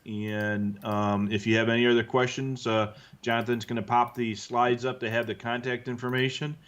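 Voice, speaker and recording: A man co-hosting a live stream, explaining things in a conversational, halting way as he answers viewers. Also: medium pitch, flat delivery, fairly bright tone. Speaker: co-host answering viewer questions.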